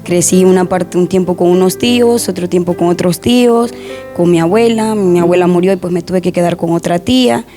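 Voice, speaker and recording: A young woman, a guest softly and hesitantly sharing a personal testimony in an interview. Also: fairly low pitch, brisk, faint music behind. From Honduras.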